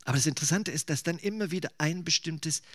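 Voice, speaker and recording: A man's voice: on the high side, fast talker, slightly rough, no room sound, studio quality. A man from eastern Germany preaching in a conversational, musing way, with casual asides. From Thuringia, eastern Germany. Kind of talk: sermon.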